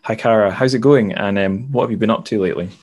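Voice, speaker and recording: A man's voice: on the low side, quick pace, darkish tone, breathy edge. A man hosting a podcast in a casual, chatty way, with wry, self-deprecating asides. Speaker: podcast host.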